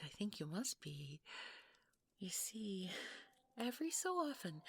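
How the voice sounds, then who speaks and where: A woman playing a sweetly menacing, possessive Mrs. Claus in an intimate audio roleplay, cooing and teasing in a breathy, husky, often whispering voice. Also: sits low, no room sound, full character voice. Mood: teasing, sinister. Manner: slow, cooing, teasing, condescending.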